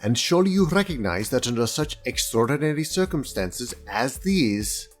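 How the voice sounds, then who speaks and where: An actor playing a polite, exacting, condescending senior inspector in an audio drama, speaking in a formal, measured, officious manner with a husky voice. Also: male voice, mid-range voice, very animated. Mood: placating, officious. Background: music.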